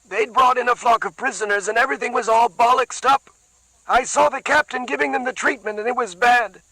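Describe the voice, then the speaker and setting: A man voicing a cornered, streetwise young man who talks slangy and quick while playing innocent. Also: very high-pitched, fairly even intonation, bright, forceful tone, no echo, voice pushed up, heavily acted, rough recording. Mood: tense.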